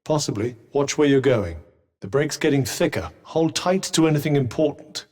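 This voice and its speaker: A man's voice: mid-range voice, expressive, normal speed, darkish tone, long reverb tail, lightly acted. An actor voicing a witty, sardonic male bracelet companion in a video game, speaking with a dry, teasing manner. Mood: wry.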